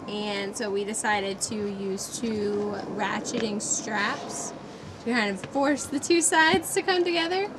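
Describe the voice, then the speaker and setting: A woman's voice: mid-range voice, slow pace. An American woman in her thirties chatting casually as co-host of a vlog. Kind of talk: casual chat. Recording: ambient noise behind voice.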